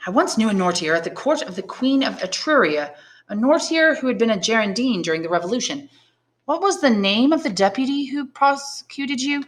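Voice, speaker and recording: A woman reading the part of a learned old male prisoner, a priest, shrewd and kindly, in deliberate, probing phrases. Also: fairly high pitch, lively intonation, pitched above the performer's own voice, natural voice. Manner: deliberate, probing questions and measured explanations.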